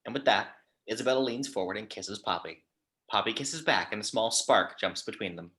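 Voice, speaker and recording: Low-voiced woman reading stage directions. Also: lively intonation, brisk, fairly bright tone. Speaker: stage-direction reader in a table read. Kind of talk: scripted narration in an amateur table read.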